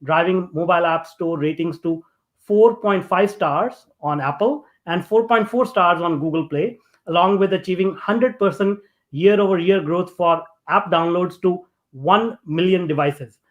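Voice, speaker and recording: Man, high voice, podcast host, formal intro reading, casual questions. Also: measured pace, muffled recording. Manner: reads a long formal introduction, then asks questions casually with fillers. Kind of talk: reading a guest introduction, then interview chat.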